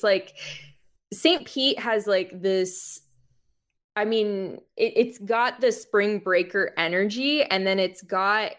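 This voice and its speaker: Slow-paced American woman, casual podcast guest. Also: medium pitch. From United States (Florida). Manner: rambling, informal, frequent "like". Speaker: podcast guest, music journalist. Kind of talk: casual conversational interview.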